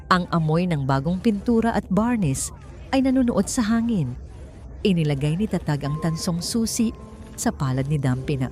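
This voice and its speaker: Woman, from the Philippines, low voice, calm emotive story narration, faint music behind. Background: faint background music.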